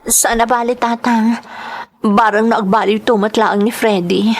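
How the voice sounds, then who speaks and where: Drama heroine played by a woman: put-upon young mother, plaintive yet determined, higher-pitched, sincere delivery. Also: flat delivery, measured pace. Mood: sorrowful, hopeful. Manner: plaintive, earnest. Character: long-suffering young wife and mother, pleading, quietly determined. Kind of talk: Ilocano audio drama acting.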